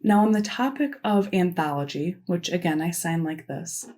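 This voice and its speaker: A woman interprets a signed keynote into spoken English, her voice sitting slightly low. She works phrase by phrase, measured and steady, pausing as she follows the signer. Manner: measured, delivered in short phrases with pauses. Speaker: sign language interpreter voicing a keynote. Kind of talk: simultaneous interpretation of a conference talk.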